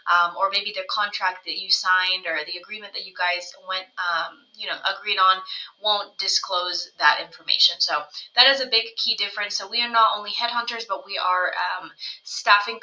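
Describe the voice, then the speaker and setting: Woman, co-founder pitching her firm; sharply bright voice, chatty, loose delivery. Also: poor audio. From United States. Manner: conversational, frequent "right?" tag questions. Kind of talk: casual business presentation over slides.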